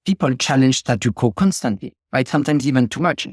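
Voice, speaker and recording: A man's voice: mid-range voice, lively intonation, brisk, a little mellow, no echo, clean studio sound. A middle-aged man from France with a French accent, speaking reflectively as a guest in an interview, with many fillers and restarts. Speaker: guest, ecommerce logistics executive. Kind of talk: podcast interview.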